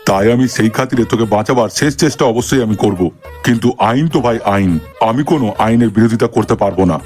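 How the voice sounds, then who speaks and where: A very quick male voice over clear music, a man acting it out. He is a middle-aged cartoon brother-in-law, exasperated, crude and sarcastic, forever scolding. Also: mid-range voice, darkish tone, lightly acted. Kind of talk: comic cartoon dialogue.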